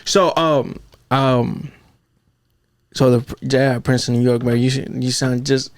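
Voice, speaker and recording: Joking podcast host with a mixed accent. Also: male voice, medium pitch, steady tone, a little slow, no echo. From California. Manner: joking, profane, repeats "okay, okay". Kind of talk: casual chat.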